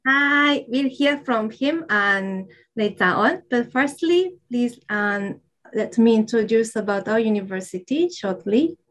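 A young woman with a Japanese accent, an admissions officer giving a friendly, halting presentation with fillers over a video call. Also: fairly high pitch. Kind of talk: online information session presentation. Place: indoors, virtual campus backdrop.

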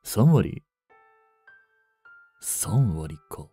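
Man acting a low, silky, treacherous butler. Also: big pitch swings, slightly rough, faint music behind, heavily acted. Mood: wavering, sly. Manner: polite, softly menacing, unhurried. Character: suave, greedy young male butler turned possessive betrayer. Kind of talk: Japanese romance audio drama acting.

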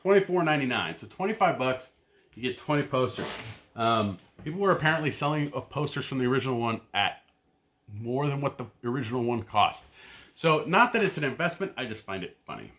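A man in his thirties giving a casual, rambling rundown of his weekly comic picks from a shelf-lined room at home, full of ums and uhs and trailing off quietly. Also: medium pitch, big pitch swings, fairly quick, slight background noise. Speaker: comic book vlogger. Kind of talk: casual pull-list rundown.

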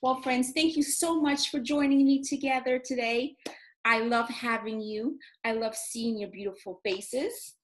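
A woman in her forties teaching young children in an expressive, encouraging, sing-song way, as in a read-aloud and craft lesson. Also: on the high side, unhurried. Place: small indoor home classroom. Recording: roomy, echoey home room sound.

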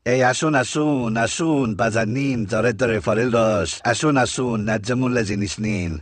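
A man's voice: mid-range voice, normal speed, no echo, close to an everyday voice. A man playing a long-suffering village vendor in a cartoon, placating and exasperated. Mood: hawking. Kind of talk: comic cartoon acting in Bengali.

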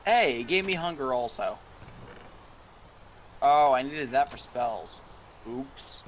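A man's voice: medium pitch, slow, slight background noise. A young man chatting casually while playing a game, swearing and laughing often. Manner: excitable, swears, yells and laughs. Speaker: gamer co-host. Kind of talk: casual gameplay chat.

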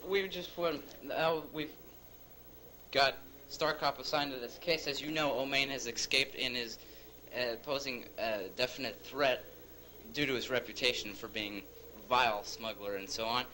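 A man playing a chummy, flattering mayor in an amateur audio drama. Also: medium pitch, moderate pace, natural voice, rough recording. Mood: chummy, official. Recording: home tape with faint background noise.

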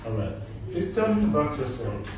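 A man's voice: medium pitch, very animated, moderate pace. A man in his thirties giving a spoken English test as a teacher, putting short, simple questions and repeating them to prompt. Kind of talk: oral exam questioning, slow and simple classroom speech. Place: small indoor test room. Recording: echoey room, distant mic, noisy.

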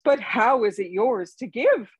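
A woman's voice: on the high side, lively intonation, measured pace, slightly rough, no room sound, highs cut off, voice pushed up. Woman reading a grim, blunt warrior leader. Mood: dazed. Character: stern, suspicious male warrior leader.

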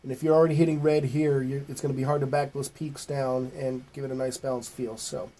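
A man's voice: medium pitch, flat delivery, brisk, mellow, low-quality recording. A middle-aged man talking through an informal, step-by-step recording tutorial in a plain-spoken, folksy way. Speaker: host of music recording tutorial. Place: small home studio with acoustic foam.

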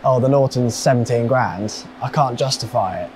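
A man's voice: mid-range voice, a little mellow, slight background noise. Chatty man on a factory tour. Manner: chatty, quick to exclaim. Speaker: vlogger touring a factory. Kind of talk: casual chat. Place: indoor motorcycle factory.

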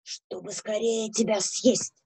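A sly, hungry storybook wolf acted by a woman wheedles in disguise. The voice is lightly husky and mellow, with quick, coaxing phrases. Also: medium pitch, level tone, full character voice. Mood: sly, menacing. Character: sly hungry male wolf posing as child or granny.

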